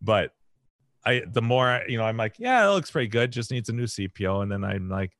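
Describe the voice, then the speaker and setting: A man with a hoarse, raspy voice, hosting a podcast and telling a long casual story full of fillers and restarts. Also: on the low side, animated, brisk, a bit bright, no room sound, studio quality. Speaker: podcast host. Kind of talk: casual chat.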